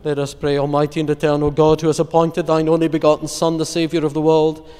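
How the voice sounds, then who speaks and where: A man solemnly reciting psalms, litanies and prayers in a measured, reverent, formal way as a prayer leader. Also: a little high, completely flat delivery, mellow, smooth. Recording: long echoing church-like reverb.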